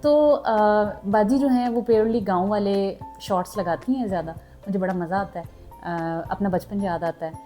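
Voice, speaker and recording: A woman's voice: medium pitch, normal speed, dark tone, soft background music. A young woman from southern Punjab, chatting casually to her subscribers and mixing in English words. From Pakistan, southern Punjab. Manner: chatty, mixes in English words, frequent fillers. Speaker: vlogger.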